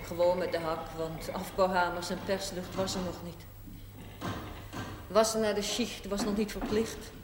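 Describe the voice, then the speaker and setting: An actress playing a proud, middle-aged woman with a hard past in a television drama, telling her story bluntly and deliberately. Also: fairly low pitch, little pitch movement, close to an everyday voice. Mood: frank, reminiscing. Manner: blunt, matter-of-fact, deliberate. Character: frank, proud 45-year-old former prostitute telling her life. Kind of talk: theatrical monologue in television drama. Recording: echoey, faintly noisy 1970s TV recording.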